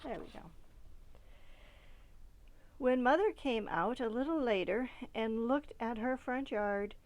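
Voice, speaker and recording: Lady reading a kids book out loud, really slow, expressive, trails off soft at phrase ends. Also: fairly high pitch, no echo. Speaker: storybook reader. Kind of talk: expressive children's story read-aloud.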